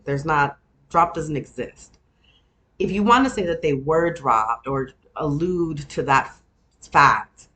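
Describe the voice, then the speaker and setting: A woman's voice: low-pitched, fairly bright tone. A woman in her thirties with a Texan twang hosts a solo podcast, recapping a reality show in a loose, chatty way. She rambles, pokes fun at herself, and laughs often between thoughts. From Texas, USA. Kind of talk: casual solo chat.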